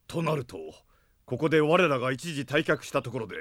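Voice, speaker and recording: An actor playing a loyal, cautious knight advisor in a Japanese radio drama, respectful and formal. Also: male voice, mid-range voice, a little fast, fairly bright tone, no room sound, natural voice. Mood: concerned.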